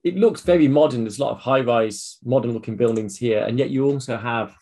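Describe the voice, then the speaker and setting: A British man speaks at a middle pitch as the host of a podcast. His delivery is casual and conversational, in the manner of an interviewer chatting with a guest. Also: a little fast, warm tone.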